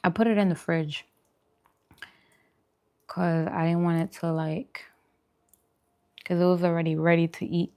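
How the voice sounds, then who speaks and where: Woman, slightly low voice, informal livestream host talking straight to her viewers, casual and chatty. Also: fairly even intonation, a little slow, long pauses, a little mellow, clean tone. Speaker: mukbang vlogger and livestream host. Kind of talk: casual livestream chat while eating.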